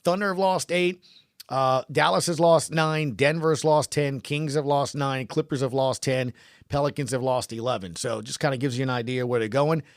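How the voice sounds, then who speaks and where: A man's voice: medium pitch, steady tone, moderate pace, dry recording, studio quality. A man hosting a sports podcast in casual talk, speaking emphatically in short punchy phrases and often repeating himself for stress.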